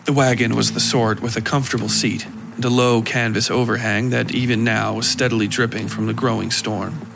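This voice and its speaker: A man reading scripted dark fiction aloud as its narrator. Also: medium pitch, level tone, brisk, creaky, noisy background.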